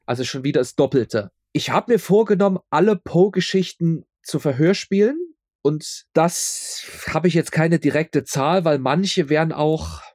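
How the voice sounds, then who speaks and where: A man's voice: fairly high pitch, unhurried, a little mellow, no echo, studio quality. A man speaking as a guest in a casual interview, rambling and often repeating his words as he explains his audio drama work.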